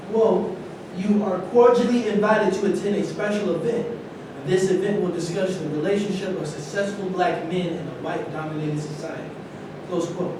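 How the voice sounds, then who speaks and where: A man playing a troubled, defensive ex-football player in a staged play reading. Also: natural voice. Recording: echoey distant room recording, noisy rumble.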